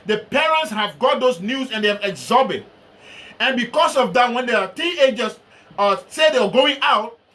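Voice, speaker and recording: High, bright Liberian man preaching. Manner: slow, emphatic delivery with Bible references. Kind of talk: sermon.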